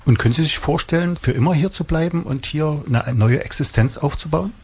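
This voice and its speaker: A man's voice: on the high side, a little fast, a little mellow, slight background noise. A man asking questions as a radio interviewer.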